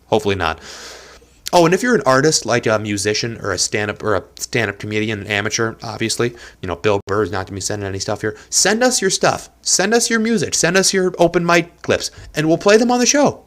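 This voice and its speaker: A man's voice: mid-range voice, sing-song, quick pace, fairly bright tone. A young man hosting a casual solo podcast from a small apartment, rambling and self-deprecating, with a nose stuffed up from allergies. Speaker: podcast host.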